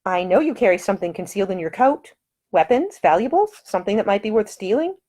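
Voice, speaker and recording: A woman's voice: medium pitch, sing-song, quick pace, warm tone, voice pushed up. Woman acting a proper, spirited young lady. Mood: anxious, earnest. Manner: formal, articulate period speech. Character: indignant, brave young lady, affectionate and proper.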